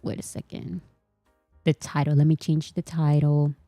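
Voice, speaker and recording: A woman's voice: low voice, level tone, moderate pace, mellow, dry recording, faint music behind. A woman whose first language is Spanish, chatting casually to camera as a fan vlogger, mock-serious and laughing often. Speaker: K-pop vlogger.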